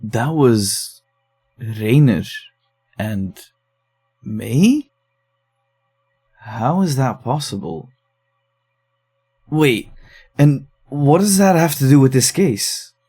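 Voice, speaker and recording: An actor playing a puzzled, frustrated male case researcher, haltingly thinking aloud in an audio drama monologue. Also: mid-range voice, big pitch swings, dark tone, husky, faint music behind, full character voice.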